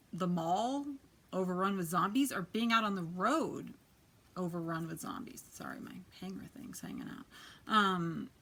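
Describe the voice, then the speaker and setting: A soft-spoken woman with a quiet voice, chatting casually as she gives a tarot reading, often dropping to a near whisper. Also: fairly low pitch, slight background noise. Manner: chatty, many fillers, drifts to near-whisper. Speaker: tarot reader. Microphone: quiet home recording.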